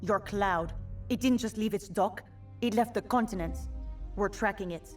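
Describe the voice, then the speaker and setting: An actress playing a calm, resolute resistance tactician in a video game, measured, firm and matter-of-fact. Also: female voice, medium pitch, faint music behind, lightly acted. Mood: stern. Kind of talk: video game cutscene acting.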